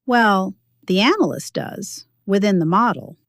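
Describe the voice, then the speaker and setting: A woman's voice: medium pitch, lively intonation, unhurried, dry recording, clean studio sound. A woman narrator voicing a self-important male financial analyst who hedges while trying to sound authoritative. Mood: flustered. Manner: hedging, would-be authoritative.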